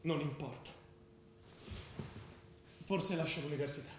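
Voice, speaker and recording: An actor playing a troubled, restless young student in an intense, brooding radio theatre performance. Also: male voice, fairly high pitch, fairly even intonation, unhurried, a little hiss or hum, close to an everyday voice. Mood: bitter, agitated. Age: young adult. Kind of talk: stage monologue and dialogue in radio theatre. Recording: roomy theatre sound with music behind. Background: music.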